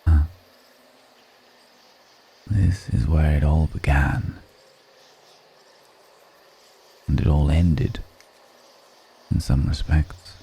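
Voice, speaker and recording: A man playing an ancient, refined, wistful male vampire in an intimate ASMR roleplay, speaking slowly and formally with measured pauses. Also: extremely low pitch, fairly even intonation, gravelly, mellow, a little hiss or hum, heavily acted. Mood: wistful, solemn. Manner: slow, measured, pausing between phrases, formal wording. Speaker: voice actor in an audio roleplay.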